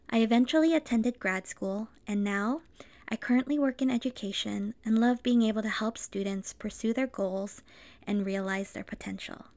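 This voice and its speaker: A woman in her thirties, born in the Philippines and raised in Los Angeles, reading a scripted podcast introduction as co-host in an earnest, measured way. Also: medium pitch, darkish tone. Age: 30s.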